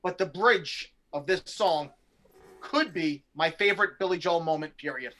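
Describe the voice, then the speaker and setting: An enthusiastic man on a podcast with a bright, forceful tone and a slightly high voice. He speaks eagerly, with real warmth about the songs he loves. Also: moderate pace. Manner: eager, enthusiastic music fan. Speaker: podcast co-host. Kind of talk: casual chat.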